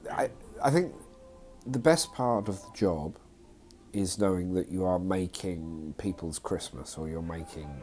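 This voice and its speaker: A man's voice: low-pitched, a little slow, darkish tone, faint music behind. A man speaking off the cuff in an interview as a theatre company director, with faint noise behind him. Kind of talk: unscripted interview.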